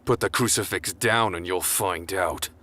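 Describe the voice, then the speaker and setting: A man's voice: deep voice, measured pace, noisy background. A young man acting a defiant, bitter, vengeful son in a student radio drama, with terse, accusing delivery. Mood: bitter defiance. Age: young adult.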